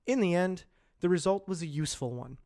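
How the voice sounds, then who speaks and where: A man reading scripted informational narration in a measured, carefully enunciated presenter delivery, like an educational voice-over. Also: sits high, darkish tone. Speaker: amateur voice actor practising reads.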